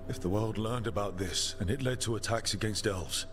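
Fantasy game leader male actor, calm, decisive, lowish voice, clear music bed. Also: brisk, lightly acted. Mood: measured.

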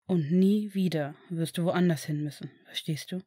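A protective father figure with a soft, slow, hushed delivery, as a woman reader drops her voice to play him. His tone stays lowered and tender throughout. The recording is dry and close, with no room sound. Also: slightly rough, dry recording. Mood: soothing. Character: gentle protective adult male father figure. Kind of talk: audiobook character voice.

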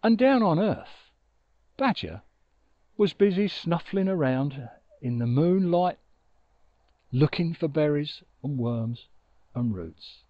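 Animated middle-aged male storyteller. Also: a little high, no echo, highs cut off. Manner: dramatic, with pauses for effect. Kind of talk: expressive children's storytelling. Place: outdoors on an open riverbank. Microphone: lapel mic with furry windshield.

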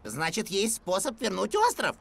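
A woman voicing a small, fussy male magic creature in a dubbed cartoon, bossy and quick to outbursts. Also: medium pitch, vocal fry, exaggerated voice. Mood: encouraging. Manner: bossy, coaching, excitable outbursts. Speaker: dubbing actor. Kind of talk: cartoon dub acting. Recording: music bed under dialogue.

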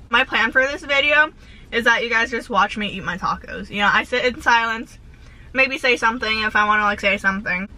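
Young woman, bubbly filler-heavy car vlog, bright, slightly high voice, recorded on a dashboard camera. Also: a little hiss or hum, poor audio. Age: young adult. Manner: bubbly, says "like" constantly, giggly. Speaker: vlogger. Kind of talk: casual chatty vlog with eating. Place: inside a parked car. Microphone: camera on dashboard facing her.

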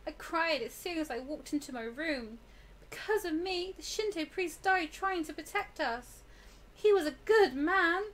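A woman's voice: extremely high pitch, animated, a little slow, fairly bright tone, pitched above the performer's own voice, heavily acted. A woman voicing the frightened young protagonist of a horror visual novel, dramatic and anxious. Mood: distressed.

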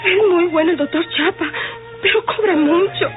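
Tearful, high-voiced desperate wife. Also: female voice, moderate pace. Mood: anxious pleading. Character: distraught, pleading wife of a dying wounded man. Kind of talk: old radio drama acting. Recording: tinny old Spanish-language radio recording, music.

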